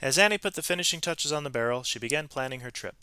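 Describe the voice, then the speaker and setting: A man reading a storybook aloud to students in an expressive, emphatic way, with brief explanatory asides to his listeners. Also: mid-range voice, quick pace, clean tone, dry recording. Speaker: read-aloud narrator, teacher reading to students.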